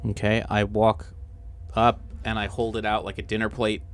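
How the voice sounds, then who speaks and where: A man's voice: fairly low pitch, little pitch movement, normal speed. A man chatting casually as a game player, thinking aloud as he describes his character's actions.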